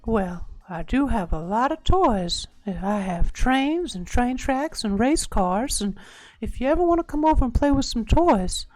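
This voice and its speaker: A man putting on the voice of a creepy elderly woman who slowly coaxes someone over to play with toys. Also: very high-pitched, animated, dry recording, pitched above the performer's own voice, low-quality recording. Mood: coaxing. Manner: slow, coaxing, drawn-out delivery.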